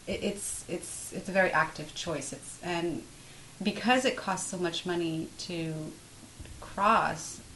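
Woman, arabic first language, lowish voice, measured interview answers, faint hiss. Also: a bit bright, low-quality recording. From Morocco. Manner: measured, restarts phrases while thinking. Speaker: author being interviewed.

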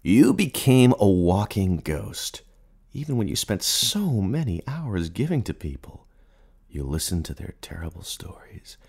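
A man performing a radio play as a merciless, taunting inner narrator, speaking in literary second person with theatrical, accusing emphasis and a husky voice. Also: on the low side, very animated, measured pace, a little mellow, exaggerated voice. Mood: bleak, dramatic.

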